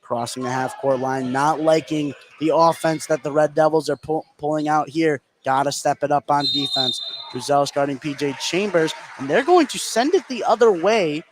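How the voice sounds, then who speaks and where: Higher-voiced male basketball play-by-play announcer, noisy gym. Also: moderate pace. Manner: running narration, rising with the action. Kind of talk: live radio sports commentary.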